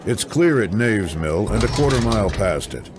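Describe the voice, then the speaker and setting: An actor playing an irreverent, sardonic scout in a fantasy audio drama, talking in a flippant, dry manner. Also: male voice, lowish voice, very animated, normal speed, background noise. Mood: dryly smug. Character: irreverent, sardonic, rough-edged male scout.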